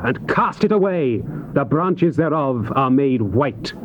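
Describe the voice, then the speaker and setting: An actor playing a fervent Old Testament prophet in a dramatized scripture recording, declaiming solemnly with drawn-out emphasis. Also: male voice, on the high side. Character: fervent male Old Testament prophet crying lament and warning. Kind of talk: dramatized scripture recitation, classical stage declamation. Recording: dull hissy old cassette tape recording.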